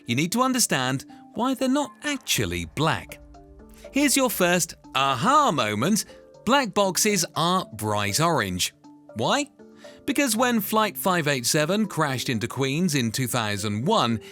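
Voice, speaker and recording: A man's voice: mid-range voice, bright, forceful tone. A man narrating a scripted explainer in an emphatic, dramatic way that builds suspense.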